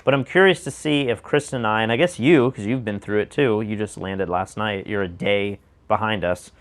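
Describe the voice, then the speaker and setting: An American man co-hosting a casual discussion show, speaking emphatically with a slightly raspy voice and throwing out rhetorical questions. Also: on the low side, moderate pace. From United States. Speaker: show co-host.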